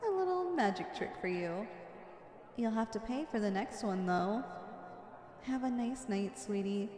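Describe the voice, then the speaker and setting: A woman's voice: fairly high pitch, animated, unhurried, warm tone. A woman playing a flirty, confident female supervillain in an ASMR roleplay, coy and teasing as she sweet-talks the listener. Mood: fond, flirty. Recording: echoey with long reverb.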